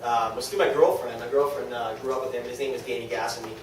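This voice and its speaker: A man's voice: mid-range voice, fast. Echoey, distant New England man addressing a group. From New England, United States. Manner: conversational, self-deprecating, often checks in with listeners. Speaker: speaker at a direct-sales team meeting. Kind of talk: informal motivational talk to a small group. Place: echoey indoor meeting room with an audience. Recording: very echoey room, distant mic, faint noise.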